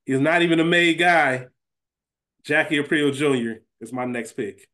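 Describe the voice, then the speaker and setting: A man's voice: on the high side, moderate pace, a bit bright. An American man co-hosting a podcast in casual, bantering chat. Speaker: podcast co-host.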